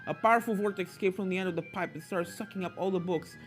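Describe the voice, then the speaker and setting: Woman narrating over soft music. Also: on the low side, fast talker. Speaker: fan fiction narrator. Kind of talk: scripted story narration. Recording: game music under the voice.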